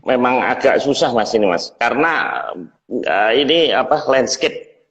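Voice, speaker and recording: Male host, low register, casual online chat, long reverb and dull sound. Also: animated, a little slow, fairly bright tone. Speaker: host of a livestream series. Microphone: online video call.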